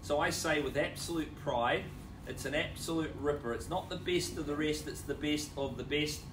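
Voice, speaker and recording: A middle-aged New Zealand auctioneer runs a live property sale, mixing rhythmic bid calls with quick jokes. His voice sits a little high for a man. The sound is roomy and distant, picked up by a camera across a big indoor room with faint noise behind him. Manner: showy, joking patter with bid chants.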